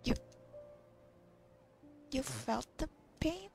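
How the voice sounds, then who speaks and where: High-pitched, creaky woman gamer. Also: lively intonation, extremely slow. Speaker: gamer commentator. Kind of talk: casual gameplay commentary. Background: game music.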